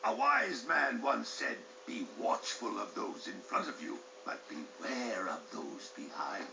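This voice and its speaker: A solemn old sage, speaking very slowly in a gravelly creak. The game sound is echoey and muffled, with noise behind. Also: male voice, mid-range voice, wildly swooping pitch, exaggerated voice. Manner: solemn, measured. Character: grave, wise old sage warrior, cryptic.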